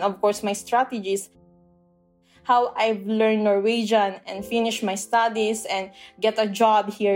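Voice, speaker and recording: A woman from the Philippines with a Filipino accent, whose first language is Tagalog. She is a language teacher who explains things earnestly in a casual interview, pausing often with "uh". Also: mid-range voice, a little mellow. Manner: earnest, explanatory, frequent "uh" pauses.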